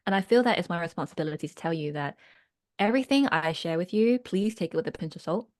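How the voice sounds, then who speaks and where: A woman's voice: lowish voice, lively intonation, fast talker, darkish tone. A woman coaching business owners in a reflective, earnest online workshop, often checking in with her listeners. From United Kingdom.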